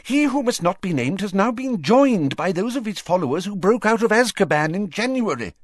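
A man's voice: a little high, very animated, unhurried, no echo, clean studio sound, pitched above the performer's own voice. A man voicing a flustered, defensive and weary older government minister in an audiobook, with agitated, emphatic delivery. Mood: urgent, agitated.

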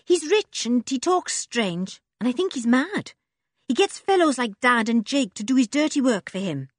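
A scruffy, tough young girl speaks bluntly and warily, her voice a touch higher and bouncing in pitch, as a woman reads her. The sound is close and dry. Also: big pitch swings, moderate pace, dry recording.

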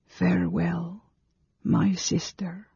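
A man's voice: sits high, measured pace, vocal fry, warm tone, breathy edge, dry recording, voice pushed up, full character voice. A man voicing a distraught young male stranger in a storybook, emotional and full of grief and awe. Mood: grieving. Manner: anguished, gasping, whispering. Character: distraught, awestruck young male stranger grieving his sister. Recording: dull lo-fi recording, very dry.

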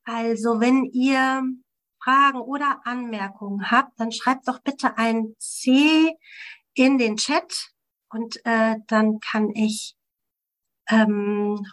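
A woman moderating an online talk over a video call, steering with friendly questions and some fillers. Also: fairly high pitch.

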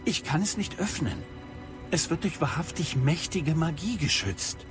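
An actor playing a courteous, grave wizard official in a fantasy audio drama, speaking in a measured, earnest way. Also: male voice, fairly high pitch, animated, lightly acted. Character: courteous, grave male ministry wizard, flattering old friend. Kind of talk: dramatised fantasy radio play. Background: music.

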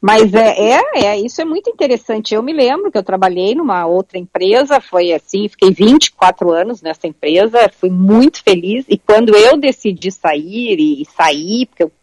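A woman's voice: mid-range voice, lively intonation, normal speed, few pauses, a little mellow, dry recording, poor audio. A middle-aged woman co-host, chatting and teasing on a radio talk show with frequent restarts.

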